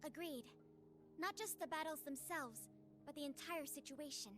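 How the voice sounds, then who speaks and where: A woman's voice: high-pitched, normal speed, a bit bright, faint music behind. An actress playing a spirited, frank young woman in a video game, speaking expressively. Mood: weary.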